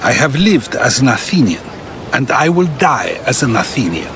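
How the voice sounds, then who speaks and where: An actor playing a principled, defiant philosopher of ancient Athens in a video game cutscene, declaiming with resolve. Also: male voice, medium pitch, sing-song, moderate pace, fairly bright tone, slight background noise. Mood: resolute. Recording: music behind the voice.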